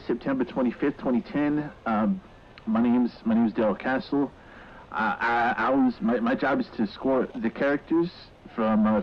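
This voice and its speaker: An actor playing a nervous, flustered mall escort giving halting, rambling witness testimony in an audio horror drama, on a lo-fi tape recording. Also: male voice, mid-range voice. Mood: uneasy, hesitant. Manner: halting, stammering, rambling, repeats himself. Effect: lo-fi tape recording filter. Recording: muffled, noisy lo-fi witness tape.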